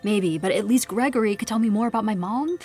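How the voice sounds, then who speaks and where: A woman's voice: mid-range voice, quick pace, darkish tone, soft background music, natural voice. An actress playing a grieving, anxious young farm woman in an audio drama, suspicious and defiant. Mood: troubled, hesitant.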